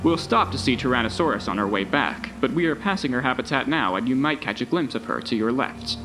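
A man playing a recorded tour guide in an audio drama, with measured, presentational narration. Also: medium pitch, lively intonation, lightly acted. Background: music.

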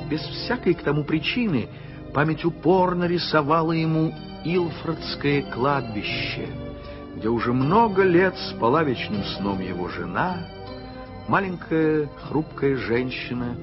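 A man narrating a classic story in an expressive, storytelling manner. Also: mid-range voice. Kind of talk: scripted literary narration. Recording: dull old radio recording with music.

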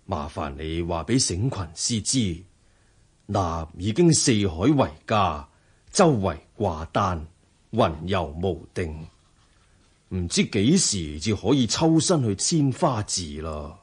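An actor playing a serene, dignified Buddhist monk in a radio drama, measured and composed, with a Hong Kong Cantonese accent. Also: male voice, sits low, sing-song, husky, full character voice. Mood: calm, dignified.

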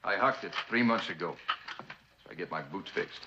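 A man's voice: low voice, expressive, moderate pace, background noise. An actor playing a bitter, guarded war veteran and former lawman, terse and wry, with an American accent in western drama style. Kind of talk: mid-century American western drama acting. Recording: dull, lo-fi old drama soundtrack.

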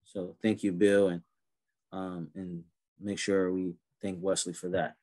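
A man's voice: sits low, little pitch movement, measured pace, darkish tone. A man in his thirties hosting an online prayer event over a video call, talking in an easygoing way with many fillers and restarts. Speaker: event host.

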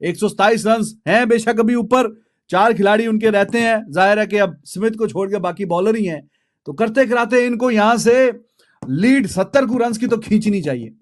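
A man's voice: high voice, moderate pace. A Pakistani man in his thirties giving casual, colloquial cricket commentary to camera, dropping in English phrases, close to a desk microphone. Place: small indoor home studio. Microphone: desk microphone close in front.

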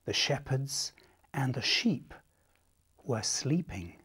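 A man reading a children's story aloud in an expressive, dramatic and deliberate way. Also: medium pitch, slightly rough. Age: 50s. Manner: animated, dramatic, deliberate, addressing young listeners.

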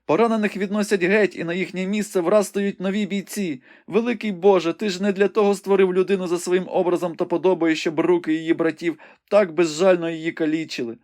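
A man's voice: sits high, fairly even intonation, fairly quick, few pauses, a little mellow, voice pushed up, close to an everyday voice. A man voicing a young woman who watches a battle from a window and cries out what she sees. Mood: urgent, fervent. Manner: breathless, exclamatory reporting. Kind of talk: audiobook reading.

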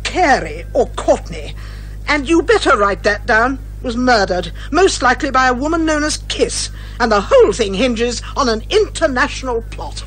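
A woman playing a determined, indignant mystery writer turned amateur sleuth, brisk and emphatic, in a radio comedy drama. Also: a little high, expressive, a bit bright, a little hiss or hum, rough recording. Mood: insistent.

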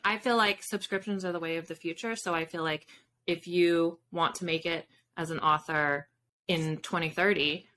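A woman's voice: on the low side, pushed and bright, slight background noise. A woman in her thirties speaking informally as an interview guest, thinking aloud as she answers on a video call. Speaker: guest, fiction author. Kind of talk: casual interview chat. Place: home room with bookshelves, on a video call.

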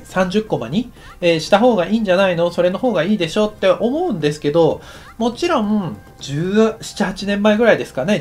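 A middle-aged man, an anime director, explaining a technical topic in a relaxed, chatty lecture full of fillers. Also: high-pitched. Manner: relaxed and chatty, many fillers, lively rising and falling delivery. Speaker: anime director teaching a course. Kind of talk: explanatory screen-share lecture.

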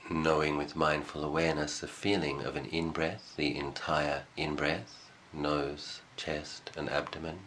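A man leading a guided meditation as a teacher, speaking calmly and in measured short phrases with long pauses. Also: very deep voice, slight background noise.

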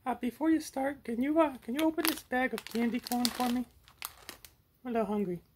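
Squeaky, whiny little puppet monster. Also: male voice, brisk, noisy background. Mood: eager. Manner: whiny, excitable, pleading. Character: whiny, candy-loving childlike puppet monster sidekick. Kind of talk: comic puppet show.

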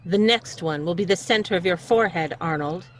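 A woman's voice: fairly low pitch, a little fast, very noisy, natural voice. A woman playing a fierce, cool-headed rescuer in a radio mystery play. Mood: cold, commanding.

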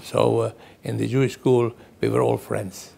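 A man's voice: medium pitch, flat delivery, normal speed, gravelly, mellow. A man in his eighties from Czechoslovakia, recounting his childhood in an interview. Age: 80s. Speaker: Holocaust survivor interviewee. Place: indoor studio.

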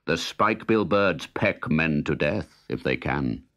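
The great voiced Sun of a storybook, played by a man. He is fatherly and solemn, speaking low and slowly with weighty gravity. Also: expressive, fairly bright tone, highs cut off, exaggerated voice. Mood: grave warning. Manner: slow, solemn. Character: grave, fatherly sun, great lord of sky.

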